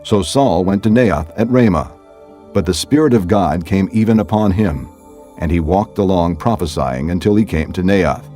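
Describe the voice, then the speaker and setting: Deep voiced guy narrating bible story, dark mellow tone, measured, soft music behind. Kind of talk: scripted scripture narration.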